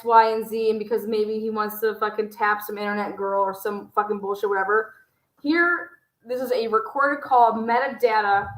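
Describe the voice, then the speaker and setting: A woman's voice: fairly high pitch, a bit bright. A woman hosting a livestream, giving impassioned, indignant commentary with emphatic swearing. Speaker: livestream host. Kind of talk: impassioned live commentary on a recording. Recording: roomy, echoing livestream sound.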